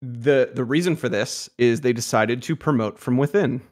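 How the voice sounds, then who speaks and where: A man's voice: mid-range voice, normal speed, darkish tone. Young man, hesitant and filler-heavy, on a headset call. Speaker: guest, community team member. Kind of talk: casual podcast chat. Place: home room, indoors. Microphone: headset over ears, video call.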